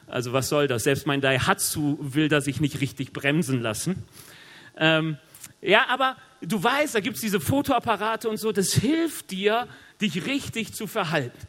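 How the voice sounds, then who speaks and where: A middle-aged man with a cold, preaching a sermon in a lively, conversational way with joking asides. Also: on the high side, unhurried. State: has a cold. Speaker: pastor. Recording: long hall reverb.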